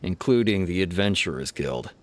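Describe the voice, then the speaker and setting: A man's voice: low-pitched, expressive, moderate pace. A man playing an earnest, mildly exasperated officer in a video game, giving a formal, matter-of-fact briefing. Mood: official, frustrated. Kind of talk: video game character acting.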